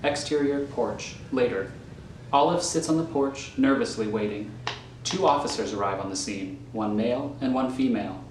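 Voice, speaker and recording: A man's voice: medium pitch, moderate pace. A man narrating a script, reading out scene headings and stage directions. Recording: echoey room, faint noise.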